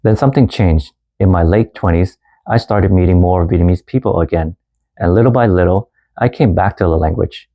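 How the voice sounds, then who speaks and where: A man in his thirties who grew up in Canada, narrating a personal story as a language teacher in a calm, earnest way and mixing two languages. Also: low voice, brisk. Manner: calm, earnest storytelling, switching between Vietnamese and English. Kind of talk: scripted lesson narration.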